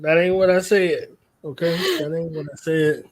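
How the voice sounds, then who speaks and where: A male radio host with a fairly high-pitched voice. He speaks in an effusive, playful style and likes theatrical flourishes as he runs an interview. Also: normal speed, crowd noise.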